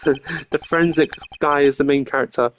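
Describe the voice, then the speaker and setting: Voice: mid-range voice, steady tone, normal speed, a little hiss or hum. A streamer giving casual live commentary on a video game. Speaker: streamer voicing game characters.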